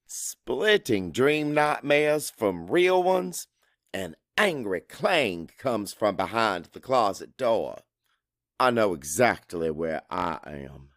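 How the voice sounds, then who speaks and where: Actor as a gruff Cajun father, widely swinging pitch. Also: a bit bright, no echo, clean studio sound, heavily acted. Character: crude, hot-tempered, hard-drinking Cajun father narrating his story. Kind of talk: first-person dramatic audiobook narration.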